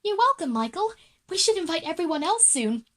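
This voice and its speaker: A woman playing an excitable, party-loving schoolgirl in a children's audio drama. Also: extremely high pitch, fairly quick, pitched above the performer's own voice, full character voice. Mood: excited.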